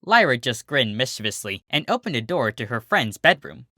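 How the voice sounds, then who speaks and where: A narrator reading a fan fiction story aloud in an expressive storybook style. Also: medium pitch, moderate pace, no echo, clean studio sound.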